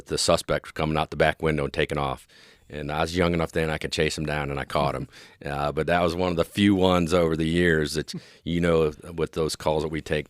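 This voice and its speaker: Middle-aged American male interviewee, extremely low voice, earnest expert explainer, close dry recording. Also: dry recording. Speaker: interview guest, police dispatch specialist and former officer.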